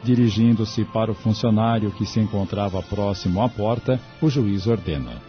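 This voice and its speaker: A man's voice: fairly low pitch, normal speed, natural voice. A man narrating a scripted audio drama as a composed storyteller. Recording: dull lo-fi audio, music underneath.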